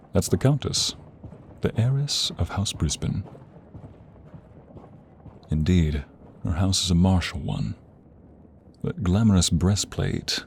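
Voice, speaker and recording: A man playing a devoted, regal king in love in a romantic ASMR audio drama, speaking intimately in a breathy voice with courtly phrasing. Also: darkish tone, slight background noise. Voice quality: deep, breathy, often whispering.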